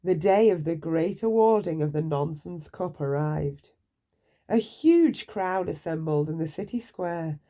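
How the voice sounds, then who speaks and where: Slow, mellow woman reading bedtime stories. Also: on the low side. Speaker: storyteller. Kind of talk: read-aloud children's bedtime story narration.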